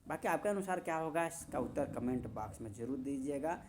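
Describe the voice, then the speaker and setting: A man's voice: mid-range voice, animated, a little fast, background noise. Man teaching an exam class in belt style. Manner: repeats phrases, asks and answers own questions; emphatic, addresses students directly. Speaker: maths and reasoning teacher. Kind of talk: informal exam coaching lesson.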